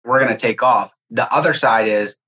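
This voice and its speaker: Mid-pitched man chatting as podcast guest. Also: normal speed, sharp tone. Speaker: podcast guest, software sales executive. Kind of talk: casual interview chat, anecdotal.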